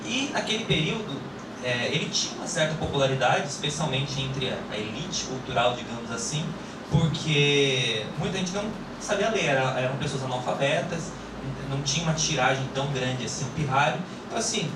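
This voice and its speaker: Explanatory film director, distant and echoey. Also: male voice, medium pitch, expressive, brisk. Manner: orderly, explanatory. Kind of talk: explaining history at a panel. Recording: echoey room, distant mic, loud noise.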